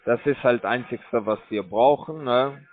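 A man calling from a scam call center, speaking broken full of fillers as he pushes his pitch. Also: unhurried. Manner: broken German, filler-heavy, pushy salesman. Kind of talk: phone call. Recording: tinny phone line.

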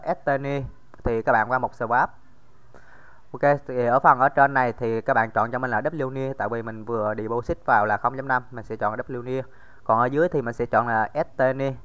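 A man's voice: medium pitch, steady tone, moderate pace, a bit bright, clear, resonant tone, dull audio. A man giving a casual screen-recorded tutorial, talking straight to viewers with many fillers. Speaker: crypto tutorial host.

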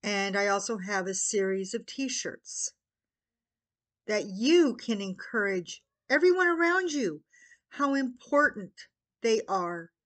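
An older American woman giving an earnest promotional talk to camera, with deliberate, halting phrasing and pauses. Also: mid-range voice, animated, fairly bright tone. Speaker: petitioner promoting own website. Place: small living room at home, indoors.

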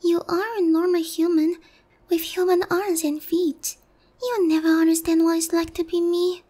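A woman's voice: very high-pitched, little pitch movement, normal speed, warm tone. A woman playing a naive, sheltered young harpy noblewoman in a roleplay ASMR, polite, hesitant and earnest in a girlish way. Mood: frustrated, wistful.

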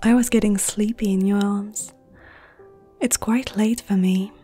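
Slow, soft-spoken flirtatious vampire noblewoman, played by a woman. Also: mid-range voice, fairly even intonation, slightly rough, soft background music. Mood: sleepy, hopeful. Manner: soft, intimate, teasing, often dropping to a whisper. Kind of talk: ASMR roleplay.

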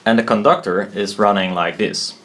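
A man giving an informal tutorial at a desk, thinking aloud in a halting, self-deprecating way. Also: lowish voice, fairly even intonation, a bit bright. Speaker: presenter explaining loudspeaker technology. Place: indoors at a desk.

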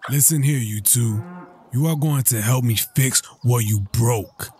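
A man's voice: mid-range voice, unhurried, a little mellow, husky. A man playing a stern, hard-working farmer father in an audio drama.

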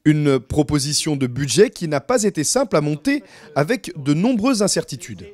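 A man's voice: on the high side, very animated, normal speed, a little mellow. A man reading scripted news narration as a reporter.

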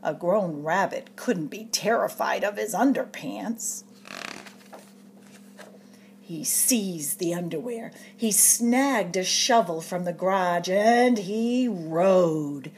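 Lady doing storytime for kids, really swoopy dramatic reading, takes her time, bit of noise in the room. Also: lowish voice. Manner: expressive, dramatic storyteller delivery with drawn-out suspenseful phrases. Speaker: storytime reader at a public library. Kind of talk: animated picture-book read-aloud for children.

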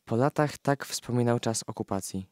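A man's voice: medium pitch, moderate pace, a little mellow, no echo. Man narrating in a dry studio. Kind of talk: scripted narration of a historical radio play.

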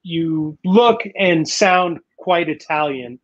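Host: man, 40s, slightly high voice, chatty and rambling, dull lo-fi webcam audio. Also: slow pace. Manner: chatty, rambling questions with many restarts and "you know". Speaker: talk show host. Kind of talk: live-streamed interview. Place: home room in Manila, indoors. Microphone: video call webcam.

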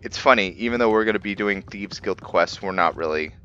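Male gameplay host, low and bright voice, thinking aloud in halting casual commentary; lo-fi sound over game music. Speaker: host of a video game roleplay series.

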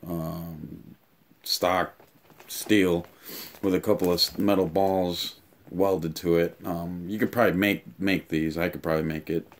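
A man in his thirties giving a casual, offhand unboxing and review, explaining things haltingly in a room at home. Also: low-pitched, fairly even intonation. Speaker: tool reviewer and vlogger. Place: small home room, indoors.

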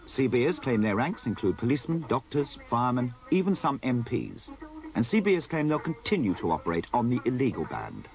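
A man's voice: mid-range voice, big pitch swings, fairly quick, darkish tone. A man reading scripted documentary narration as a television reporter.

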